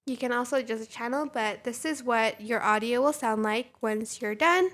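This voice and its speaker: A woman hosting a casual software tutorial, talking in an informal, chatty way. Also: on the high side, normal speed. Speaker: tutorial host.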